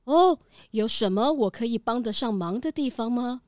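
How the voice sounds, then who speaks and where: A woman's voice: fairly high pitch, animated, moderate pace, a little mellow, dry recording, studio quality, close to an everyday voice. A woman voicing a kind goat who runs a factory, opening her lines with a bleat, in a children's radio drama. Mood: helpful. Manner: bleating "baa" before speaking.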